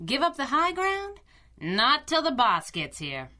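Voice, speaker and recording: A brash masked crimefighting sidekick in a vintage-style radio adventure. Her voice is bright and forceful, swooping with animated sarcasm. She tosses off slangy wisecracks like a tough 1930s dame. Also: high voice, measured pace, heavily acted. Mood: brash, wisecracking. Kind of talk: pulp radio drama acting in a 1930s manner.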